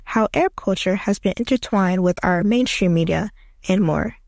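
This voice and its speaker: A woman's voice: mid-range voice, moderate pace, gravelly, a little mellow, no room sound, studio quality. A woman reading a scripted introduction in an inviting way. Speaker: narrator. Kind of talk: scripted narration.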